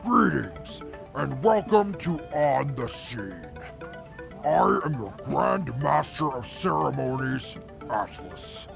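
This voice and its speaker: A man acting in an audio drama as a pompous, smug, mocking sidekick with gravelly, formal, wordy speech. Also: mid-range voice, big pitch swings, over music, exaggerated voice. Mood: grandiose, condescending. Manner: pompous, formal, drawn-out, verbose.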